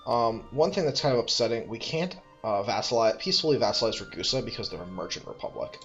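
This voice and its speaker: A man's voice: mid-range voice, expressive, quick pace, a bit bright, lo-fi sound, soft background music. A man thinking aloud as he plays a strategy game, musing, correcting himself and making dry asides. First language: English. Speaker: let's play host.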